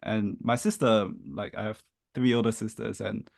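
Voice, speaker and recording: A man in his thirties from Malaysia, a podcast guest who talks in a relaxed, reflective way with many fillers. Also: fairly low pitch, moderate pace, vocal fry, no echo, studio quality. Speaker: interview guest. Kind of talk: casual interview chat.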